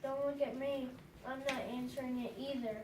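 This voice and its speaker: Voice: high voice, little pitch movement, moderate pace, lightly acted. A woman playing a frightened, dramatic elephant in a children's readers' theatre play. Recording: echoey, noisy room, distant microphone.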